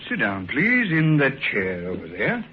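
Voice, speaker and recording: An actor playing an older doctor of the supernatural in a 1950s radio drama, speaking in a measured, modest, courteous way. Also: male voice, medium pitch, wildly swooping pitch. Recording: muffled old radio broadcast, faint hiss.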